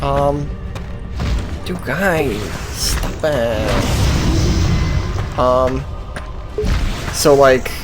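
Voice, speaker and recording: Young adult gamer, androgynous voice, unhurried but animated, sarcastic sweary commentary, game music behind. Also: medium pitch. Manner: sarcastic, mocking. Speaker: gaming streamer. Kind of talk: casual, sweary gameplay commentary.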